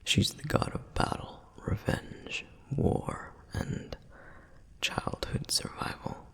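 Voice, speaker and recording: A man voicing a protective, reverent flame demon in an ASMR roleplay, speaking in a breathy, husky whisper with measured, gentle explanations and frequent pauses. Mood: reverent, fascinated. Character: male flame demon, protective, reverent, softly flirtatious lore guide. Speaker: audio roleplay performer. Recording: long reverberant hall echo.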